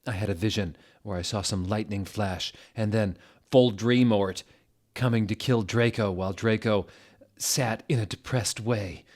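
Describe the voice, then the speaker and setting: A man giving a comic dramatic reading of a story, theatrical and deliberate, with incredulous asides. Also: lowish voice, darkish tone. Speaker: dramatic reader of bad fan fiction.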